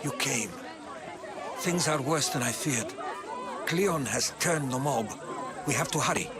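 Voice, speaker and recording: A man playing a shrewd, worldly adviser in a video game, with a measured, persuasive way of speaking. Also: mid-range voice, natural voice. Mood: urgent. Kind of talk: video game dialogue acting. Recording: noisy outdoor crowd behind.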